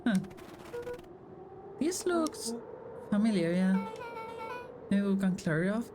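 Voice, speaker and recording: A woman's voice: mid-range voice, sing-song, takes it slowly. A woman streamer reading visual novel dialogue aloud in character. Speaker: streamer voicing game dialogue. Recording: game music behind the voice. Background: game music.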